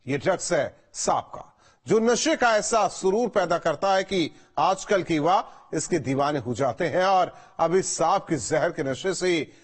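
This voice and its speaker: A man's voice: on the high side, expressive, measured pace, husky. A man presenting a scripted news introduction in a dramatic, emphatic manner.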